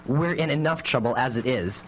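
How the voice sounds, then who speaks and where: A man's voice: medium pitch, fairly quick, natural voice. Man playing an irritable, sarcastic teenage boy. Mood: busy, grudging. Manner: snappy, mocking, grudging. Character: sarcastic, irritable fifteen-year-old boy. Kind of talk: live radio drama acting. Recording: noisy live radio recording.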